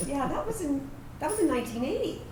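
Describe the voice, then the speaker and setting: Woman playing a wistful veteran, high and animated. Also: fast. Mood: wistful reminiscence. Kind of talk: staged reading of a personal narrative play. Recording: roomy, distant microphone, faint background noise.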